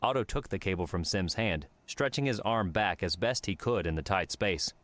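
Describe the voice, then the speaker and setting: A man's voice: low-pitched, lively intonation, moderate pace, a bit bright, no echo. A man narrating an audiobook, reading scripted fiction.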